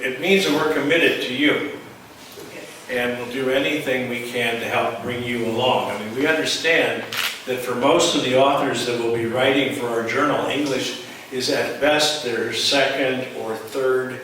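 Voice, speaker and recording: A man's voice: medium pitch, fairly bright tone. Wry man speaking from afar in a hall. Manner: wry, self-deprecating, fluent. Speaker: journal editor, former professor. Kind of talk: conference panel remarks. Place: conference room. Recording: echoey hall, distant mic, noisy.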